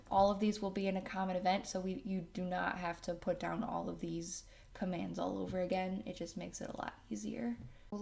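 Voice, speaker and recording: Slow-paced woman giving a casual software tutorial. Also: medium pitch, vocal fry. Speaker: tutorial host. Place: indoors at a computer.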